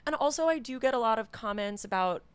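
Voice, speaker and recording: Raspy-voiced young woman, candid and chatty. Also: expressive, quick pace, no room sound. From New York. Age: 20s. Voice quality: deep raspy voice. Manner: chatty, candid, peppered with "like" and laughs. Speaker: singer-songwriter guest. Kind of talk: casual interview chat.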